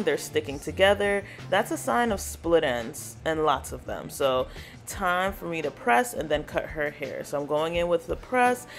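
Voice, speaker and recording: A woman hairstylist talks viewers through her workday in a relaxed, chatty vlog voice that sits a little low. She explains each step as she goes, and faint music plays quietly underneath. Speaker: natural hairstylist. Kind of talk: casual vlog narration.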